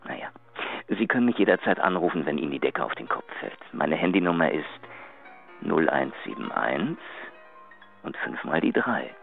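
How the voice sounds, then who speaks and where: An actor playing a formal, courteous, apologetic gentleman with a gravelly voice, leaving a voicemail in a radio drama. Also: a bass voice, very animated, normal speed, full character voice. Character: courteous, gentlemanly male acquaintance, quietly smitten and apologetic. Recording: dull phone message, faint music.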